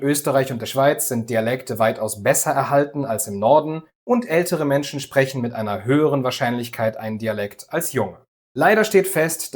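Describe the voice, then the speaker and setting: A man from the Düsseldorf area hosts a scripted language lesson. He explains things calmly and clearly at an even, unhurried pace, and his voice sits at a middle pitch. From Düsseldorf area, Germany. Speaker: language teacher, host.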